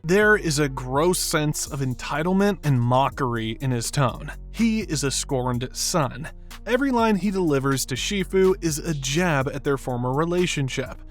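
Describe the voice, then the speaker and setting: Male video essayist, somewhat high-pitched, reading scripted commentary over background music. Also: lively intonation, moderate pace. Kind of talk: scripted narration, film analysis.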